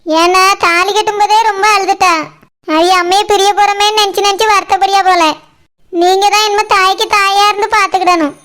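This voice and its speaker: A young cartoon village sister-in-law acted by a woman chatters in an extremely high, childlike-pitched voice. She has a Kanyakumari Tamil accent and a bossy but caring way of giving instructions. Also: flat delivery, a little fast, a bit bright. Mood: caring, insistent. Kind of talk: cartoon village drama dialogue.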